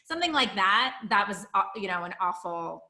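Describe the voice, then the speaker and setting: Lady host chatting about business, bright sharp voice, informal, thinking out loud, roomy echo. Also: medium pitch, big pitch swings, moderate pace. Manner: informal, thinks aloud, checks in with tag questions. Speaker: host, practice consultant. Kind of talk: conversational business interview. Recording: roomy, echoing voice pickup.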